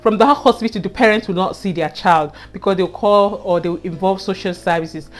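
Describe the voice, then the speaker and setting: A woman's voice: medium pitch, moderate pace. A young Nigerian woman with a Nigerian accent, earnestly telling a personal story to her viewers and often repeating herself and adding "you know". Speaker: vlogger. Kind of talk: casual storytelling to camera.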